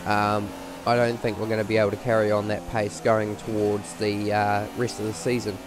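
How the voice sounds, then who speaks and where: A man's voice: on the low side, monotone, moderate pace, background noise. A man with a voice strained from a cold, giving rambling, casual commentary over a racing game with many fillers.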